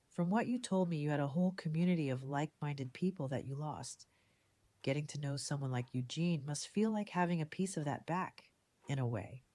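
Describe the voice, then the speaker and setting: An actress playing a shrewd, composed female community leader in an audio drama, measured and articulate in manner. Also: deep voice, warm tone, no room sound. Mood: gently teasing. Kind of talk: fan audio drama acting.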